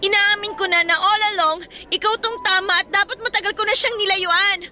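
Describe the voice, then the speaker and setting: A woman's voice: very high voice, fairly even intonation, a little fast, pushed and bright, a little hiss or hum, heavily acted. A woman acting a desperate, imploring teenage student pleading with her boyfriend in an emotional radio drama. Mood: desperate, demanding.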